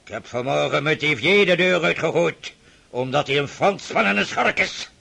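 An actor playing an irascible, domineering old Russian prince who rants and shouts in a radio play. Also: male voice, fairly high pitch, expressive, normal speed, gravelly, husky, exaggerated voice. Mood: lecturing. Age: older.

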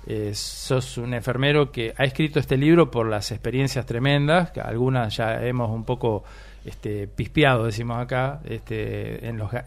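A man's voice: medium pitch, creaky. Rambling Argentine man in his sixties. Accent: Argentine Spanish. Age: 60s. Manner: rambling, hesitant with many fillers. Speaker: radio host. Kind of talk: warm radio interview.

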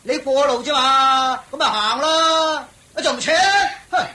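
A rude, jumpy, superstitious grouch in a Hong Kong Cantonese radio play. He blusters and repeats himself in a sharp, piercing voice. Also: very high-pitched, measured pace, full character voice. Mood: scornful, indignant. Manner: blustering, repetitive, stammering exclamations. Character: grumpy, superstitious, easily spooked clansman traveller, rude. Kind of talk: Cantonese radio drama acting.

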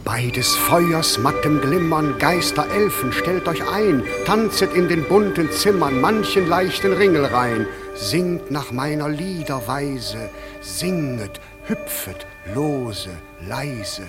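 Lordly fairy king, slightly raised pitch, unhurried incantation, radio verse, loud music underneath. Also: animated. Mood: hushed. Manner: incantatory, commanding. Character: lordly fairy king summoning his spirits. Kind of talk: theatrical verse radio play.

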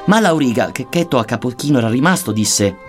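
A man's voice: mid-range voice, expressive, fairly quick. A man recites archaic epic verse in a solemn, theatrical manner. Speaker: reader of verse. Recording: music under the voice. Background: music.

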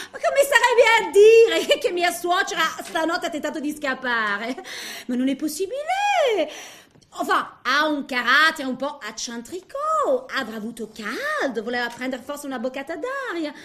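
An actress playing a callous, money-minded daughter-in-law, showy and affected, in a comic stage monologue. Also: female voice, high-pitched, a bit bright, full character voice. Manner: showy, affected, peppered with French interjections. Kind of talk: theatrical comic monologue, one side of phone call.

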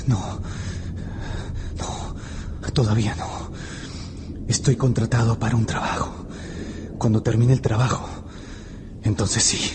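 A man's voice: on the low side, flat delivery, slow, long pauses, noisy background. A man playing an earnest, troubled young lover in a radio drama, with intense, dramatic phrasing. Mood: anxious, insistent.

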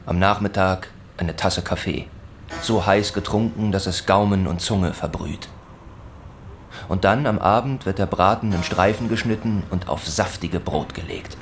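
A man narrating a literary radio play with terse, deliberate phrasing. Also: low voice, a little hiss or hum.

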